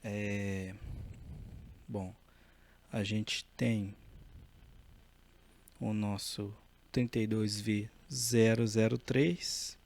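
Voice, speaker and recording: A man with a Brazilian accent giving an informal, step-by-step technical demonstration in a halting, explanatory way. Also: fairly low pitch, flat delivery, gravelly, a little mellow. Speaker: electronics tutorial presenter.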